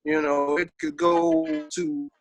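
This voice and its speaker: A man's voice: on the high side, flat delivery, slow pace. A man with a Texas Southern accent talking casually in an interview, emphatic and full of slang and swearing. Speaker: interview guest, hip-hop veteran.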